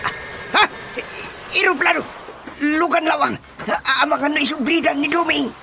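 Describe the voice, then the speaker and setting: An actor playing an excitable village watchman in a radio drama, with an Ilocano accent and exclaiming speech. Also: very high voice, normal speed, creaky, very noisy. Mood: worried.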